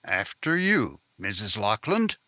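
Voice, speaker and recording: A man's voice: lowish voice, sing-song, takes it slowly, bright, forceful tone, no room sound, full character voice. A man playing a crusading, hard-nosed newspaper reporter in a 1950s-style radio drama, with emphatic, punchy delivery. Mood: gentle, triumphant.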